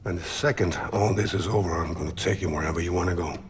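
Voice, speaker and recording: A man's voice: low voice, fast talker, slight background noise, natural voice. An actor playing a protective, guarded military father devoted to his child, in a cinematic video game. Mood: tender.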